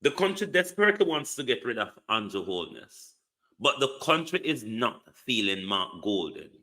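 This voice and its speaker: A man's voice: mid-range voice, sing-song, normal speed, bright, forceful tone. A man giving an emphatic political commentary monologue, full of repeated rhetorical questions. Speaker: online commentator.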